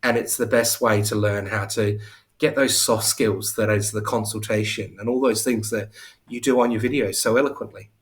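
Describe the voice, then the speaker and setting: A man's voice: lowish voice, steady tone, normal speed. A man chatting on a video call from home, talkative and self-deprecating, often restarting his sentences. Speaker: hair coach and salon owner. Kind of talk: casual live interview chat.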